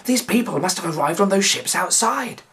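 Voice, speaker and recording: A man's voice: high voice, animated, moderate pace, gravelly, bright, forceful tone, pitched above the performer's own voice, heavily acted. A man voicing a young, earnest bullock sidekick who sounds slightly anxious. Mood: curious.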